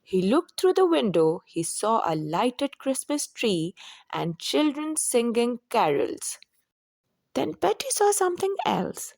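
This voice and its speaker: Slow, lively woman reading a children's story. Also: a little high, gravelly, dry recording, studio quality. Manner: expressive, deliberate, simple storybook delivery. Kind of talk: expressive read-aloud narration for children.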